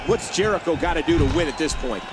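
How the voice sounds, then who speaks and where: A man voicing an earnest, excitable play-by-play wrestling commentator in a video game. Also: fairly high pitch, fast, a crowd in the background, background noise, natural voice. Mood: excited.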